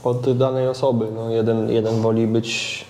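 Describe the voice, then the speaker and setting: A man's voice: medium pitch, level tone, moderate pace, warm tone, a little hiss or hum. A young man, a football goalkeeper, answering interview questions casually and hesitantly, often repeating words as he searches for them. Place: club media room, indoors. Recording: echoey room.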